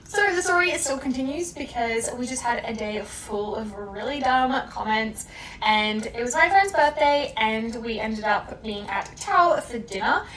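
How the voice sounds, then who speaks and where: A woman's voice: a little high, expressive, unhurried, a bit bright, a little hiss or hum. An Australian woman telling an anecdote in an exasperated, retelling tone. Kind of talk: storytelling anecdote. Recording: echoey room from far off.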